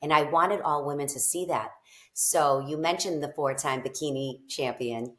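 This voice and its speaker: A woman's voice: low-pitched, normal speed, a bit bright, slight background noise. A middle-aged woman from Boston with a strong Boston accent, talking as an interview guest in a bubbly, effusive way. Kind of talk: casual interview chat. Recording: echoey room.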